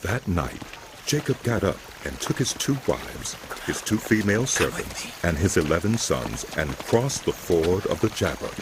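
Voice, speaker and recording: A man narrating a scripted Bible drama in a solemn, measured storytelling manner, with music behind him. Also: sits low, big pitch swings, noisy background.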